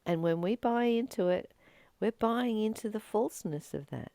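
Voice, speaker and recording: A woman speaking as a spiritual teacher, reflecting informally on a reading in an earnest, expressive way with emphatic repetition. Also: mid-range voice, moderate pace, gravelly, no echo. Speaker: spiritual teacher and channel host.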